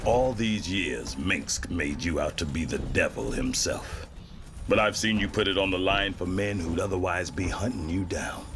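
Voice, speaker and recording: An actor playing a composed, honorable military general in a video game, speaking in a measured, formal briefing manner. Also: male voice, deep voice, lively intonation, background noise. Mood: solemn respect.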